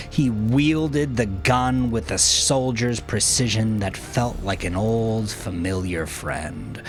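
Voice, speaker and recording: A man reading scripted fiction in a dramatic, measured way, dropping into hushed, breathy phrase endings. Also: fairly low pitch. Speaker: audiobook narrator.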